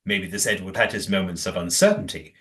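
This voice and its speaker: A man's voice: sits low, fairly quick, a bit bright. An actor playing a righteous, well-spoken man in an audio play, formal and emphatic. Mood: indignant. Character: righteous, well-spoken man guarding his community's history.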